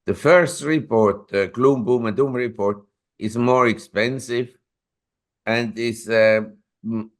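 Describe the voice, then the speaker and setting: A man's voice: mid-range voice, fairly even intonation, takes it slowly. A man in his seventies, a newsletter publisher and interview guest, telling long rambling anecdotes with self-corrections. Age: 70s.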